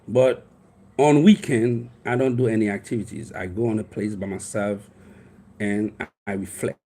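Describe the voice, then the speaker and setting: A man's voice: lowish voice, fairly even intonation, a little slow, long pauses, mellow, breathy edge. A man in his fifties from Haiti with a Haitian accent, a life coach telling a personal story in a rambling, earnest way with frequent restarts. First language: Haitian Creole. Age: 50s.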